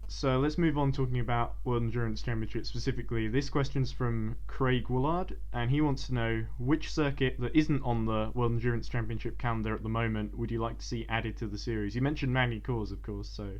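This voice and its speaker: A man's voice: medium pitch, fairly even intonation, a little fast, few pauses, a little mellow. Chatty man interviewer, muffled sound. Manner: chatty, laughs easily, fills with ums. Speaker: podcast host interviewer. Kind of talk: casual interview questions. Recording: muffled line with background buzz.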